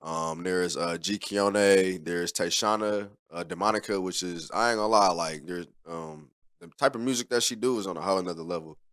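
A man's voice: deep voice, moderate pace, a bit bright. A young man from Haiti, a guest on a podcast, talking casually in a studio with a desk mic, laid-back and rambling with lots of fillers. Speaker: interview guest, media producer. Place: studio podcast set with neon sign. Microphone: desk mic on stand.

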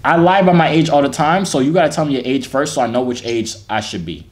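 A man's voice: medium pitch, quick pace. Teasing young man on a phone video chat.

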